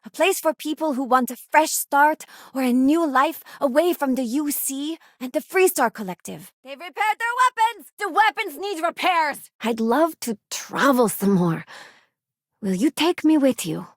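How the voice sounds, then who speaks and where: Idealistic young space settler, video game companion: friendly, earnest, expressive, very dry studio sound. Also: female voice, high-pitched, unhurried, no echo. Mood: upbeat, then urgent. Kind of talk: scripted video game character voice lines.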